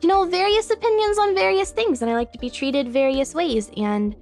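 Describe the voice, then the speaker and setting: A woman's voice: very high-pitched, fairly even intonation, brisk, a little mellow, faint music behind. A woman guest on a podcast, chatting casually in a bubbly, giggly way.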